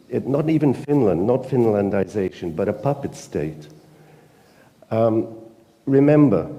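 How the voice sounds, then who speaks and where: British man, very dark mellow voice, earnest and emphatic, long hall reverb. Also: on the low side. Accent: British English. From Britain. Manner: earnest, emphatic, halting with fillers. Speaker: panel speaker, newspaper editor. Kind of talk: conference panel talk. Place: conference hall.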